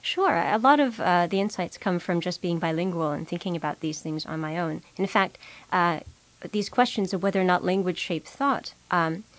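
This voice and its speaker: A young adult woman whose native language is Russian, answering interview questions as a researcher, explaining in a measured way with many ums and uhs. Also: lowish voice, no room sound, rough recording. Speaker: psychology researcher.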